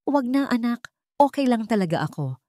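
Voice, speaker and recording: A woman's voice: a little high, sing-song, moderate pace, mellow, no room sound, pitched above the performer's own voice. A woman with a Filipino accent voicing an anxious, evasive older mother who sounds strained and reassuring, in a narrated story. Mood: nervous, dismissive.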